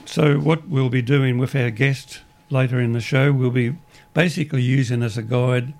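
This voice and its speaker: An Australian man hosting a community radio show, with a croaky voice today, rambling through announcements with frequent restarts in an upbeat way. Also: mid-range voice, a little mellow.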